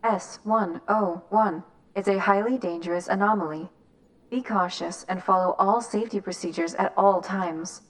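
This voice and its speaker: A woman's voice: medium pitch, fairly even intonation, normal speed, slight background noise, lightly acted. A woman voicing a clinical facility announcer. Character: female facility announcement system, impersonal.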